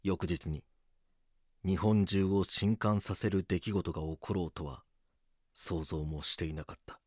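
A very low-voiced male detective narrates a true-crime radio drama. His delivery is grave and measured, like a solemn documentary account. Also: fairly even intonation, dry recording, studio quality.

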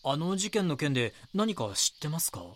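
A man's voice: on the high side, very animated, moderate pace. An actor playing a young, earnest magazine reporter in an audio drama, politely probing with his questions. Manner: polite, deferential when questioning.

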